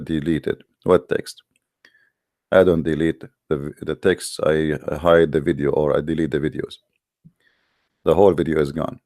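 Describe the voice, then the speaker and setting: Deep-voiced man with a non-native English accent. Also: mellow, no echo, studio quality. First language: not English. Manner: challenging, confrontational, with rhetorical questions. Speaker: host of an online religious debate call-in show. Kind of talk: informal polemical monologue to live online audience.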